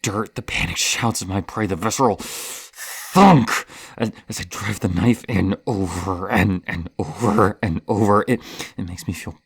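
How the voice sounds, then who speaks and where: A man playing a gleeful, hesitant serial killer villain toying with prey in a horror roleplay, stammering and pausing dramatically between breathy sighs. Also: deep voice, full character voice. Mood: thrilled, intense. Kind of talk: ASMR horror roleplay monologue.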